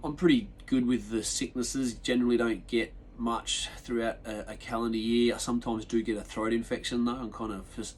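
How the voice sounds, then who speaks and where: An Australian man hosting his own podcast solo, sounding nasal and chesty from a sinus infection, rambling through a relaxed story with lots of ums and restarts. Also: mid-range voice, a little hiss or hum. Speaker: podcast host. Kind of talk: casual solo podcast chat.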